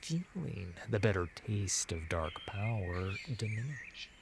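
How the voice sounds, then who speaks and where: A man narrating a fantasy audio drama in a solemn, measured, dramatic storytelling manner, letting phrase endings drop to a hush. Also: on the low side, animated, vocal fry, a little hiss or hum.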